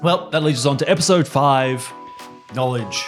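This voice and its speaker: A man's voice: medium pitch, moderate pace. A man acting as game master, improvising jokes in casual tabletop banter. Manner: joking, improvising. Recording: music playing under the voice.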